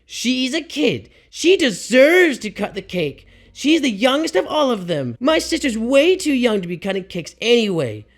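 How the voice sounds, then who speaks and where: A man voicing a shrill, entitled middle-aged aunt who whines and nags in a high, demanding voice. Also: very animated, normal speed, few pauses, faint music behind, exaggerated voice. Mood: mocking. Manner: whiny, demanding, high-pitched nagging.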